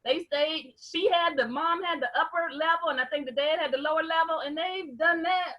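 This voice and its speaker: A woman's voice: extremely high pitch, moderate pace, fairly bright tone. A woman comedian on a video call chat, telling anecdotes in a chatty way. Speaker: comedian guest.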